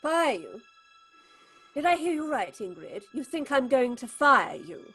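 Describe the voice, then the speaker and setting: A woman acting in an audio horror drama as a proud, haunted theatre director, husky and commanding in a clipped, theatrical way. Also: sits high, big pitch swings, unhurried, sharp tone, faint music behind, heavily acted. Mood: icy composure. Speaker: actress.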